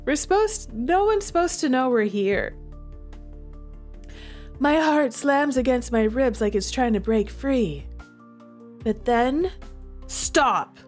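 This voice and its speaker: A woman's voice: high voice, lively intonation, slow, long pauses, darkish tone, soft background music. A woman streaming a visual novel, chatting to viewers and reading the game text aloud with dramatic feeling.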